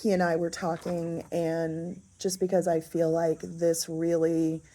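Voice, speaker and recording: A woman talking casually in a diary-style vlog with a raspy, hoarse voice. She is unhurried and thoughtful, and often pauses to find her words. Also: lowish voice, monotone, dark tone. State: raspy, hoarse voice after illness. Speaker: vlogger.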